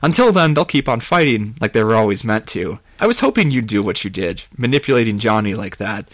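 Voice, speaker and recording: A man's voice: fairly low pitch, animated, brisk, a bit bright, no room sound. A man voicing a smug, triumphant male immortal in an audiobook, explaining things in a pleased, knowing way. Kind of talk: audiobook character voice.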